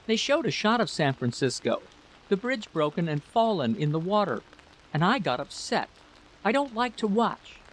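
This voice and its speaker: A man voicing a gentle, anxious wife in a story, fretful, hesitant and pleading. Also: sits high, very animated, moderate pace, voice pushed up, poor audio. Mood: worried.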